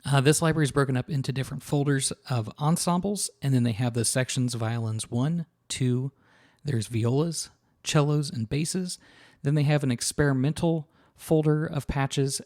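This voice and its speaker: A man with a hoarse, scratchy voice, casually walking through a software instrument as a reviewer, with many fillers and restarts. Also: medium pitch, moderate pace. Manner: relaxed, filler-heavy, restarts sentences. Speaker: sample library reviewer. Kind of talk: casual product walkthrough.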